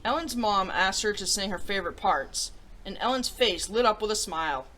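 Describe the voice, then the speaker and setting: A woman hosting a children's story show, reading aloud in an animated, expressive way. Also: medium pitch, normal speed, poor audio.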